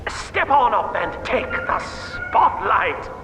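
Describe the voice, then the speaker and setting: A man's voice: very high voice, wildly swooping pitch, slow pace, recorded from a distance, full character voice. An actor playing a deranged, pompous corporate boss in a video game, theatrical and taunting like a showman. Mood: showman patter. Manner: pompous, theatrical, swooping between murmur and shriek. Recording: roomy, echoing sound under loud music.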